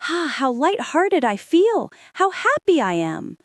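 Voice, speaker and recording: A woman's voice: high-pitched, wildly swooping pitch, a little slow, dry recording, clean studio sound, pitched above the performer's own voice, exaggerated voice. A woman voicing a cheerful, simple-hearted shoemaker who exclaims eagerly. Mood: happy.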